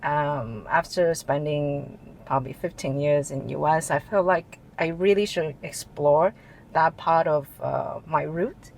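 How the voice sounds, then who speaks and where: A middle-aged woman from Taiwan, answering interview questions in a relaxed way, often restarting sentences and joking. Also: sits low, unhurried, vocal fry, a little hiss or hum, low-quality recording. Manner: hesitant, restarts often, jokes and laughs. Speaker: guest, tech executive. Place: office in Shanghai.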